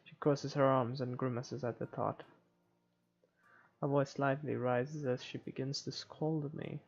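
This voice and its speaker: A woman's voice: rumbling low, fairly even intonation, a little slow, a little mellow, slightly rough. A woman gamer casually reading a playthrough aloud with sarcastic asides, recorded on a dull microphone. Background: game music.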